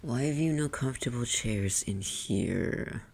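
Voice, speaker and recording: Possessive demon played by an ASMR roleplayer: condescending, formal, creaky delivery that often sinks to a whisper, over audible background noise. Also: medium pitch, expressive, a little slow, darkish tone. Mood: possessive, haughty. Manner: condescending, teasing, imperious, theatrically formal. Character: possessive, haughty demon toying with a human captive.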